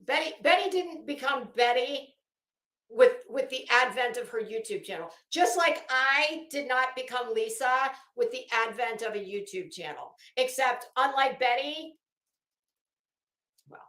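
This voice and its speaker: Woman streamer on a casual rant, kinda high voice, sarcastic and blunt, stops and stresses words, roomy echo. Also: lively intonation, unhurried, bright, forceful tone. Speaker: YouTube host. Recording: echoey room.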